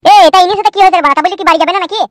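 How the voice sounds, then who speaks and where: A woman's voice: extremely high pitch, racing, very noisy. A performer, heard as a woman, playing a suspicious, sarcastic husband who grumbles in comic cartoon dialogue. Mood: grumbling.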